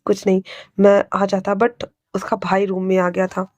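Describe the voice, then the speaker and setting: A woman's voice: mid-range voice, monotone, normal speed, darkish tone, no room sound, dull audio, close to an everyday voice. A woman voicing a frustrated man explaining why he had to run off, in a spoken story.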